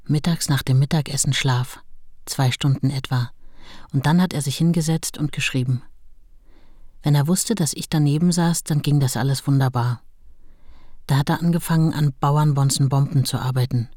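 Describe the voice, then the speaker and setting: An actress playing a sober, plain-spoken writer's wife who reminisces matter-of-factly in a radio play. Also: female voice, rumbling low, moderate pace, mellow, no echo, clean studio sound. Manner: matter-of-fact, unadorned.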